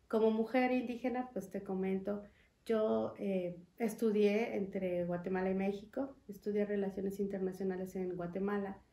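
A young woman from Chiapas, a guest in an interview, speaking earnestly and in a measured way with frequent fillers, at a desk mic. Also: medium pitch, creaky, warm tone. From Mexico, Chiapas. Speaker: interview guest, foundation representative. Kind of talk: conversational interview. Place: studio room with wood panels and glass. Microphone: desk mic on stand. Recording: echoey room.

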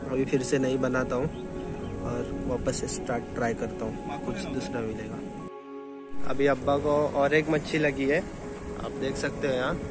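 A man's voice: medium pitch, fairly even intonation, moderate pace. A young man, narrating a casual walking vlog outdoors on a rocky seashore, filling his talk with "matlab". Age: young adult. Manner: chatty, leans on filler "matlab". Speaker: vlogger. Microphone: phone camera held at arm's length. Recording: loud music bed under voice.

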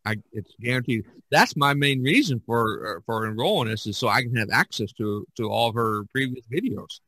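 Halting man in casual podcast chat. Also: medium pitch, expressive, sharp tone, slightly rough, no room sound, poor audio. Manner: hesitant, halting with repeated words. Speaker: podcast host.

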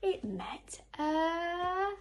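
Slow-paced woman reading to young children. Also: extremely high pitch, a little hiss or hum. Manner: animated, asks the listener questions. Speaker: teacher reading a picture book. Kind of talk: expressive storytelling to young children. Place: living room sofa at home. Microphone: phone camera a short distance away.

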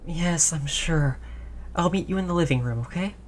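A woman playing a gentle human who soothes their android companion in an intimate roleplay audio drama, tender and reassuring. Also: deep voice, normal speed, a little hiss or hum, close to an everyday voice. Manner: soothing, tender, hushed, repeating reassurances softly.

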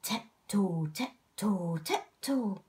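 A woman reading a children's picture book aloud in an expressive, theatrical way, drawing out words and chanting repeated phrases. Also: medium pitch. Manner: theatrical, drawn-out delivery with chanted repetition and acted sound effects. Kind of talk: expressive read-aloud story narration for young children.

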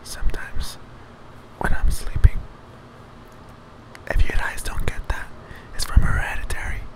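A young man hosting a joking ASMR video in a breathy whisper, right up against the microphone in a home kitchen. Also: a little slow, poor audio. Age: young adult. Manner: mock-soothing, joking. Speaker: vlogger, host. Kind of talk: ASMR parody, whispered chat to viewers. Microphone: table-top microphone very close to the mouth.

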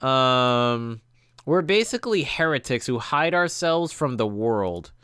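Young man with lively, swinging intonation. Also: medium pitch, takes it slowly, clear, resonant tone, no room sound, studio quality. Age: young adult. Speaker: gaming channel host. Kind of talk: reading visual novel text aloud with casual reactions. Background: game music.

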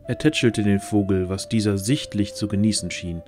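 Guy narrating a fantasy audio play, lowish voice, faint music behind. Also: moderate pace. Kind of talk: scripted audio drama narration.

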